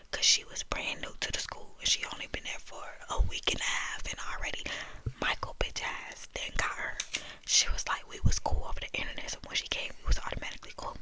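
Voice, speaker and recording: A woman's voice: bright, forceful tone, low-quality recording. A young woman whispering a candid, profane personal story about her school days.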